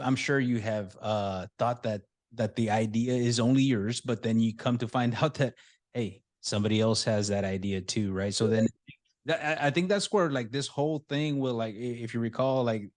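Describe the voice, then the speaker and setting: A man's voice: medium pitch, moderate pace. A man hosting a podcast, rambling through questions with fillers and restarts. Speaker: podcast host. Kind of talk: casual interview talk.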